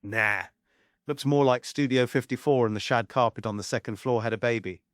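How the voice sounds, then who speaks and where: A man's voice: mid-range voice, fairly quick, dry recording, natural voice. Man playing mischievous showman, mocking, teasing, swaggering, mid-range male voice, dry sound, stage play reading. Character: cheeky, mocking showman and mischief-maker Eli. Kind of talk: theatrical play table read.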